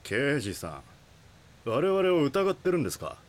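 An actor playing an evasive, scornful cult representative in an audio drama, dismissive and condescending. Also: male voice, medium pitch, wildly swooping pitch, moderate pace. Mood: cold, dismissive.

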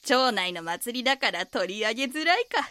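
A woman's voice: fairly high pitch, expressive, moderate pace, pushed and bright, smooth, dry recording. A woman playing a chatty, helpful small-town local with a Kansai accent in a neighbourly, folksy audio drama role. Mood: friendly. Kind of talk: Japanese audio drama acting.

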